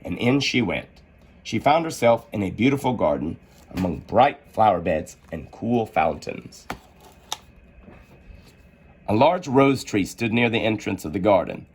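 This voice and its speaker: A man narrating a children's story aloud in an expressive, theatrical manner. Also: on the low side, a little slow, long pauses, slight background noise. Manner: animated, theatrical delivery.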